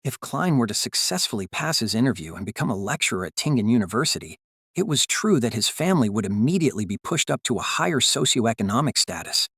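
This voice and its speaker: A man's voice: mid-range voice, big pitch swings, fast, fairly bright tone, husky, no room sound, clean studio sound, exaggerated voice. A man playing a polite, understated young graduate in an audiobook drama. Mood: pondering. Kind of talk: audiobook character dialogue and inner thoughts.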